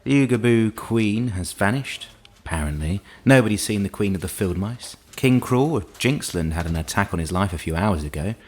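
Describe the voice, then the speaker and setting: A harried palace official, acted by a man, with a very low voice and lively, swinging intonation. He is curt, sardonic and impatient, in the manner of audio drama. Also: normal speed, a little hiss or hum, natural voice. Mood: grim, dry.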